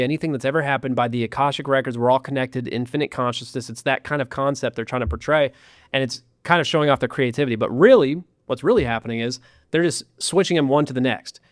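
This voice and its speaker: Man, casual podcast chat, sarcastic, dry close sound, mid pitch. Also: no echo. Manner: animated storyteller, sarcastic asides.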